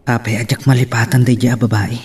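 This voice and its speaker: A man's voice: mid-range voice, flat delivery, brisk, vocal fry, slight background noise, lightly acted. A man playing an earnest, lovelorn young man narrating his love story in an Ilocano audio drama.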